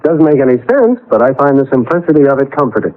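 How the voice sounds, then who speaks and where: An actor playing a good-humored, wry young American husband in a radio drama. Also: male voice, medium pitch, big pitch swings, fast, lightly acted. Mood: amused, agreeable. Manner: joking, wry. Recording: old radio broadcast, narrow band.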